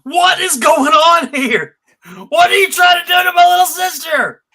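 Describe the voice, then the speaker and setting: A man with a creaky voice playing a hapless, earnest cartoon boy at a live table read. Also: extremely high pitch, moderate pace, bright, forceful tone, heavily acted. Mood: indignant.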